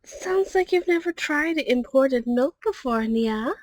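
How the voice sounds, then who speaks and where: A woman's voice: sits high, expressive, slow pace, dry recording. A woman playing a friendly, polite catgirl secretary in an ASMR roleplay, chatty, ending sentences with a little meowing tic. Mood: chatty, cheerful.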